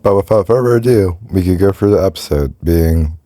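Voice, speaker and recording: A young man talking casually into a phone, reviewing a cartoon episode in a monotone, hesitant way with many fillers. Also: low-pitched, a little fast, no room sound. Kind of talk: casual voice-over commentary.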